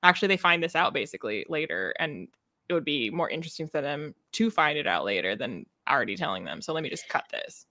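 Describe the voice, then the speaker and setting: A woman's voice: low voice, very animated, fast, bright, forceful tone, no room sound, clean studio sound. A young adult woman co-hosting a casual book livestream, opinionated and given to acting out imagined quotes. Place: room at home, indoors.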